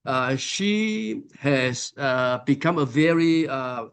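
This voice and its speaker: Slow-speaking man lecturing. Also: medium pitch, lively intonation, clear, resonant tone. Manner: unhurried, many fillers and pauses. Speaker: conference keynote speaker. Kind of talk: academic conference lecture. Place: conference hall.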